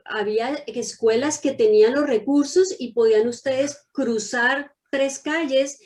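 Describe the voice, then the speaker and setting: A woman moderates a parents' meeting over a video call in a slightly high voice. She is conversational and pauses on "eh" as she adds context, then hands the floor to the next speaker. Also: darkish tone. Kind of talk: moderating an online community meeting.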